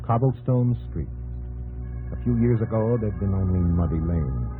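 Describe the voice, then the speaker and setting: A man's voice: fairly low pitch, animated, normal speed, phone-quality sound. A man narrating a 1950s radio drama in dramatic, clipped, solemn phrases. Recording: old radio broadcast with organ music.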